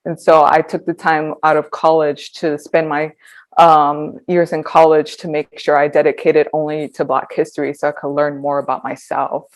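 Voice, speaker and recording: A woman in her twenties, a podcast guest talking reflectively, pausing often with ums. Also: low voice, level tone. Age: 20s. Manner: reflective, hesitant with frequent ums. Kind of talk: conversational interview.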